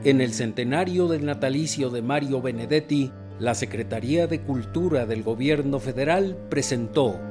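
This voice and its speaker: Higher-voiced male radio announcer over music. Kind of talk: scripted radio credits.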